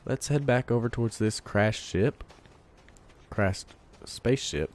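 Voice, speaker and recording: A man with a congested voice from a cold, giving relaxed let's play commentary, thinking aloud while he plays. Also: mid-range voice, measured pace. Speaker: gamer.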